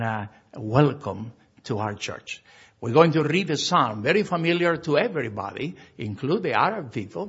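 A middle-aged man from Argentina, a pastor giving a memorial service address, halting with frequent fillers. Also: medium pitch, a bit bright, breathy edge, dull audio.

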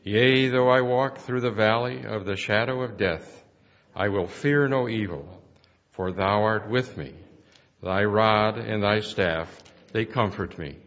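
A man reading scripture aloud at a memorial service in a measured, formal way. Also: lowish voice, fairly bright tone, dull audio. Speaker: church board vice chairman reading scripture.

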